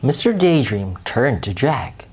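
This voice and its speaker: A woman's voice: very deep voice, big pitch swings, takes it slowly, a little mellow, breathy edge. A woman reading a children's bedtime story aloud as storyteller.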